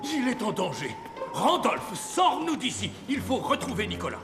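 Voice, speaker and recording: An actor playing a childlike, excitable, jolly old Father Christmas in a French animated cartoon. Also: sits high, moderate pace. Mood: urgent. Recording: loud music behind the voice.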